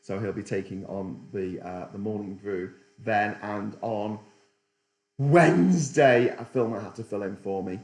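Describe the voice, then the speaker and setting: A man's voice: fairly low pitch, measured pace, darkish tone. Sardonic man in an echoey room. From Britain. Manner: sardonic, rambling, with restarts. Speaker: political livestream host. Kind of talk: casual livestream commentary, reading chat.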